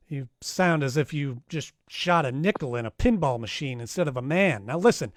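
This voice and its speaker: A man's voice: mid-range voice, lively intonation, normal speed, dry recording, close to an everyday voice. A man playing a wry, hard-boiled private detective in 1940s radio style, dry and wisecracking. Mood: urgent. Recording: live-streamed radio play with music underneath.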